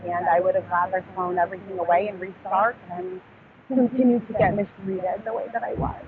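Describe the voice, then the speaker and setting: A woman's voice: mid-range voice, steady tone, a little fast, husky. A woman in her twenties from Texas, talking openly and reflectively in a street interview, with many hesitations. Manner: open, reflective, many ums. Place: outdoors near a park. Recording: phone-like audio with outdoor noise.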